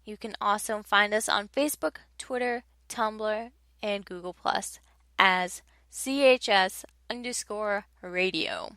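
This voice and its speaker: A woman's voice: medium pitch, takes it slowly, bright, forceful tone, dry recording. A young woman hosting a casual podcast, chatty and laughing at her own flubbed lines. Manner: chatty, self-mocking about flubbed lines. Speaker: podcast host.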